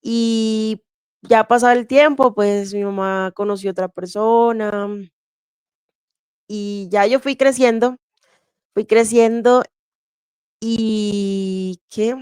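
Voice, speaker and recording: A woman from Venezuela telling her childhood story in an interview, slow and even, with soft laughs and fillers. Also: fairly high pitch, clean tone, no room sound, clean studio sound. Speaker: interview guest sharing testimony. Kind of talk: candid personal testimony.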